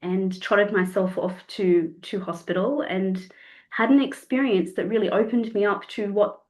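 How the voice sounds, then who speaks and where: A woman in her forties with a slightly croaky voice, reflecting as a guest in a casual podcast conversation. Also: medium pitch, steady tone, a little slow, a little mellow. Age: 40s. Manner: reflective, searching for words, repeats phrases. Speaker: guest, counsellor and somatic sexologist.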